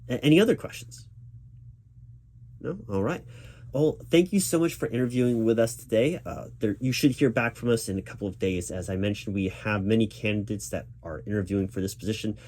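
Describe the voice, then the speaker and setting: Male ASMR roleplayer as a patient, encouraging recruiting manager; relaxed, warm tone, slightly low pitch. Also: a little fast. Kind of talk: loud-spoken ASMR interview roleplay. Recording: background noise with keyboard typing.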